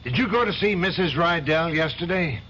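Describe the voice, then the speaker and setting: An actor playing a stern middle-aged law firm boss in a 1970s radio drama. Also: male voice, on the high side, lively intonation, brisk, pushed and bright, slight background noise. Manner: stern, clipped, demanding. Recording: dull old radio recording.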